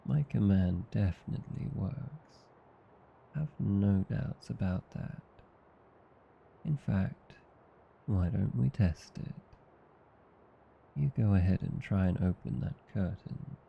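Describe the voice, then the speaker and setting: A man with an Australian accent playing a tender, teasing vampire husband in an ASMR roleplay, soothing, coaxing and calmly hypnotic. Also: on the low side, slow pace, vocal fry, long pauses, muffled recording, a little hiss or hum, full character voice. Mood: calm, satisfied. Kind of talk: ASMR roleplay, slow intimate scripted acting.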